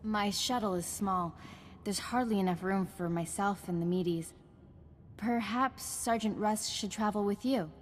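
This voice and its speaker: A woman voicing a calm, courteous female Jedi ally in a video game, precise and formal. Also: medium pitch, unhurried, a little hiss or hum, natural voice. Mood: composed. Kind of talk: video game cutscene voice acting. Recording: echoey hall, music behind.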